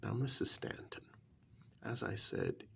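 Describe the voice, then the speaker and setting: Man playing a slow, creaky, soft-spoken shady doctor. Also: on the low side, huge pitch swings, a little hiss or hum, exaggerated voice. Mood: calm admonishing. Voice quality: soft, breathy, often dropping to a whisper. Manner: slow, deliberate, lightly admonishing, with drawn-out pauses. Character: calm, shady male doctor who transplants wolf eyes. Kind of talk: soft-spoken ASMR role-play.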